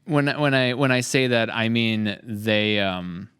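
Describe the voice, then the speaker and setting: A man's voice: on the low side, slow, fairly bright tone, smooth, dry recording, clean studio sound. A man hosting a casual podcast interview, wordy and thinking aloud as he phrases things. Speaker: podcast host, series creator.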